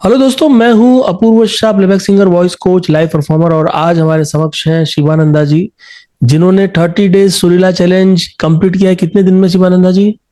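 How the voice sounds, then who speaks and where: A man with an Indian accent presenting as a host and coach, mixing Hindi and English with deliberate emphasis on key phrases. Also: high voice, fairly even intonation, mellow.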